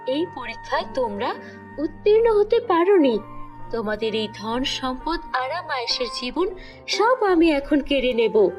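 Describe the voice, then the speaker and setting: A stern fairy of justice from a cartoon fairy tale, acted out by a woman narrator. Her voice is high and swings widely as she passes solemn judgement, with music underneath. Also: sing-song, measured pace. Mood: stern reproach. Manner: scolding, solemn, declamatory. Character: stern righteous fairy woman judging greedy villagers.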